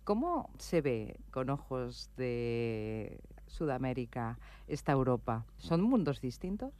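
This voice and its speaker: A woman interviewing a writer, asking scripted questions in a measured, composed presenter manner. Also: rumbling low, big pitch swings, darkish tone, no room sound. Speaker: radio interviewer.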